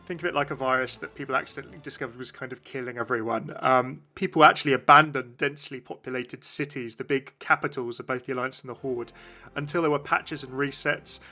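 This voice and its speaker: A man's voice: medium pitch, steady tone, fast, bright, forceful tone, soft background music. A man narrating a video as a game commentator, explaining things in an informal way.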